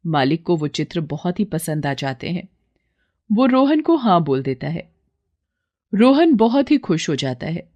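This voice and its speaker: A woman's voice: fairly low pitch, a little mellow. A woman storyteller reading scripted narration for a children's moral story.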